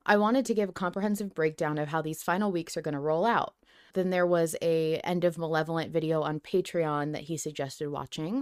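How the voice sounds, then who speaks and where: A woman hosting a podcast in casual, informal chat, with an excitable, sweary streak. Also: sits low, no echo, clean studio sound. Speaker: podcast host. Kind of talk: casual podcast chat, reading announcements aloud.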